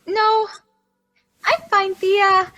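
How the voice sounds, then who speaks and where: An actress playing a sweet, excitable young niece, gushing and affectionate, in a radio drama. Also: female voice, very high voice, drawn-out words, full character voice. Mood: excited, affectionate.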